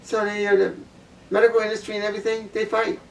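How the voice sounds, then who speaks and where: A woman with a low voice giving a blunt opinion monologue, repeating her points for emphasis. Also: flat delivery, fast, gravelly, darkish tone, far from the mic, rough recording. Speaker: vlogger.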